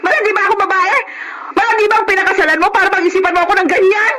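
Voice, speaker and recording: An actress playing a hardworking, wrongly suspected wife in a Filipino radio drama, with emotional, theatrical delivery. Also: female voice, very high-pitched, steady tone, racing. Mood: hurt, furious. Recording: very noisy radio drama recording.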